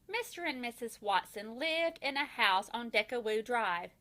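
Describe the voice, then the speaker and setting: A woman reading a children's bedtime story aloud like a first grade teacher, with expressive, deliberate storytelling. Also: on the high side.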